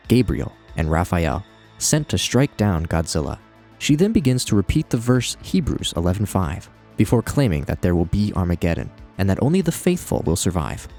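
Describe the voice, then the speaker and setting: Low-pitched man narrating with lively inflection. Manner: wry asides. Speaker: video essay host. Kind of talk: conversational commentary narration.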